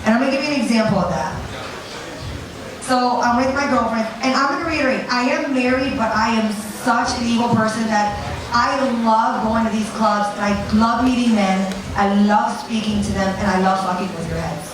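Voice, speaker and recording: A woman's voice: a little high, fairly even intonation, moderate pace. A woman giving an informal seminar talk, blunt and conversational, telling anecdotes and swearing freely. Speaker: seminar speaker. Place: seminar room with an audience. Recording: very echoey room, distant mic, audience noise.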